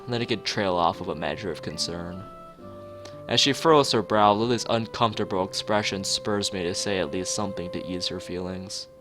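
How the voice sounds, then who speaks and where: A man live streaming, mixing joking, mock-formal commentary with reading story text aloud from a game. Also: lowish voice. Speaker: streamer playing a visual novel.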